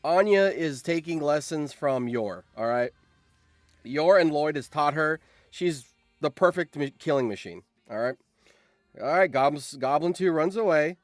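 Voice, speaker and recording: A man live-streaming as host, reading out game results with animated, excitable commentary and surprised exclamations. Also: fairly high pitch, measured pace, dry recording.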